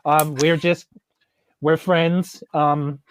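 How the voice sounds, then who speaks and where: A man's voice: a little high, flat delivery, very slow. Chatty New man improvising in a game. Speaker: tabletop game player. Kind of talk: casual improvised role-play.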